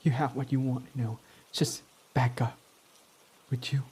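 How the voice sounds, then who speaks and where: Male performer as a young tsundere villain, gruff but smitten, mellow tone, middle pitch. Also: steady tone, a little slow. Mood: flustered, teasing. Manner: defensive, swears when flustered. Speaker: ASMR roleplay performer. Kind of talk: ASMR roleplay.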